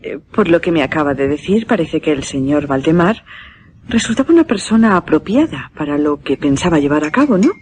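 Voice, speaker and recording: A woman's voice: low voice, fairly quick, slight background noise. An actress playing a curious, well-read, polite lady in a suspense radio drama. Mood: intrigued. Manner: inquisitive, polite, expressive.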